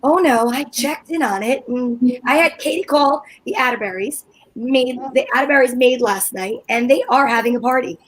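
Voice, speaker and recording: A woman's voice: a little high, moderate pace, natural voice, poor audio. A woman playing an anxious, pushy housewife in old time radio comedy style, insistent and impatient.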